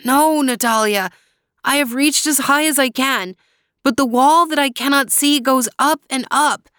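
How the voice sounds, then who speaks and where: An earnest young brother speaks with steady reassurance, as a woman voices him. His voice sits a little high. It sounds like a read-aloud storybook. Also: measured pace, dry recording, studio quality.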